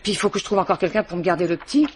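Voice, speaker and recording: Actress playing flustered, chatty waitress on noisy recording. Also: female voice, on the low side, fairly even intonation, very fast, darkish tone, lightly acted. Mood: plaintive, fretful. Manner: rambling, hesitant, repeats herself, deferential. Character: chatty, flustered widowed working-class waitress and mother. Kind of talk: French radio mystery drama acting.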